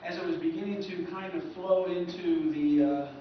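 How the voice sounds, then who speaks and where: A man giving an informal history talk at a convention, conversational and halting, recorded from far off in an echoey room. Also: high voice, fairly even intonation, highs cut off, slight background noise. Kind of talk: informal lecture.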